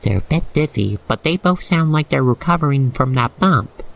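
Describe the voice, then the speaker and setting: A man with a creaky voice reading a scripted second-person ASMR roleplay story, with gentle exclamations. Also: fairly high pitch, expressive, moderate pace. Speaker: roleplay narrator.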